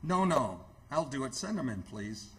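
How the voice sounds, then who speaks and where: An actor playing an earnest, careworn hospital superintendent in radio theatre, halting and emphatic. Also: male voice, medium pitch, sing-song. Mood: startled.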